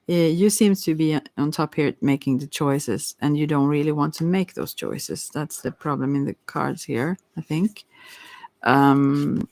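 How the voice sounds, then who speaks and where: An ASMR tarot reader talks slowly with a creaky, gravelly edge. The delivery is hesitant and thoughtful, searching for words while improvising a card reading, recorded close and dry. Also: medium pitch, a little mellow, dry recording. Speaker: tarot reader hosting a live session.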